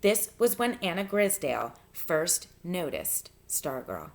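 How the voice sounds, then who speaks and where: A woman teacher reading a novel aloud to students in an expressive, instructive way, pausing to give guidance. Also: fairly low pitch, vocal fry. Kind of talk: read-aloud lesson, narration with spoken instructions.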